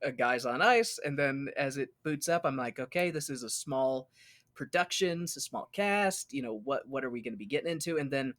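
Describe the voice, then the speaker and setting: A man's voice: mid-range voice, lively intonation, fairly quick, fairly bright tone, no echo. Man chatting in a dry-sounding room. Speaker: podcast host. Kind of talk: casual chat.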